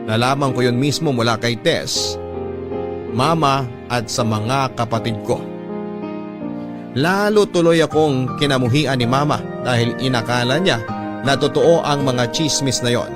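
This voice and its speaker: Casting: Filipino-accented man, medium pitch, radio drama storyteller reading confessional narration over music. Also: unhurried. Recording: music behind the voice.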